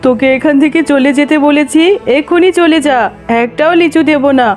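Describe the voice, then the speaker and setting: A woman's voice: high voice, fairly quick, a little mellow, natural voice. A woman playing a stingy, haughty tigress mother in a children's cartoon, scolding with scorn. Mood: angry, dismissive. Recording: music behind the voice.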